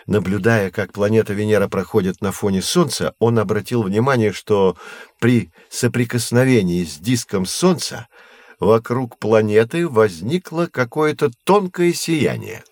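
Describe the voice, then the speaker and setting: Patient professor played by a male actor, low register, measured teaching delivery, dry close sound, educational audio drama. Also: darkish tone, dry recording, natural voice. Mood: instructive. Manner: explanatory, measured, didactic. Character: male astronomy professor, patient, knowledgeable teacher of curious children.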